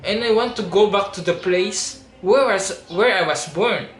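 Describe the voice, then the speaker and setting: A man's voice: high-pitched, moderate pace, soft background music. A man in his twenties with a Filipino accent, making an earnest, pleading personal appeal to camera. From Philippines. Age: 20s. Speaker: reality show audition applicant. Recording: roomy echo with steady background noise.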